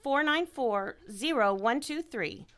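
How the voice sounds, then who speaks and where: A woman performing a scripted radio ad, with an earnest sales-pitch delivery. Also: expressive, slow, fairly bright tone. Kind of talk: scripted ad dialogue.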